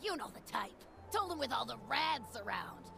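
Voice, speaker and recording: A woman's voice: a little high, sing-song, normal speed, vocal fry, music in the background, exaggerated voice. A woman playing a tough, wisecracking, jaded ghoul brawler in a video game, talking in cocky street slang with a gritty edge. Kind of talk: video game character dialogue.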